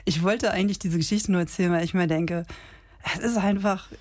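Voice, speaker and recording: A woman in her fifties chats on a podcast with a slightly low, dry-sounding voice. She tells stories animatedly, with many fillers and small restarts along the way. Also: steady tone, fairly quick, no room sound. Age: 50s. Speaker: podcast co-host. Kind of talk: casual chat, storytelling.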